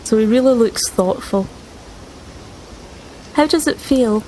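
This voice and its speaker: A woman's voice: fairly high pitch, slow pace, mellow, slight background noise, poor audio. A woman playing a tabletop roleplaying game casually, speaking in brief, understated turns.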